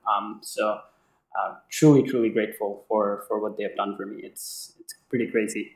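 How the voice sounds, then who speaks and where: A man's voice: fairly low pitch, little pitch movement, moderate pace, gravelly. A young man in his twenties from Bangladesh, a native Bengali speaker, telling stories casually as an interview guest, often hesitating and repeating words. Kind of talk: casual conversational storytelling. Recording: echoey, roomy sound.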